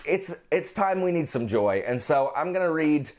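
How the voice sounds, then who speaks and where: Enthusiastic, higher-voiced man chatting as a vlogger. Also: animated, normal speed, a little mellow. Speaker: book channel host. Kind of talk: casual, chatty book vlog to viewers.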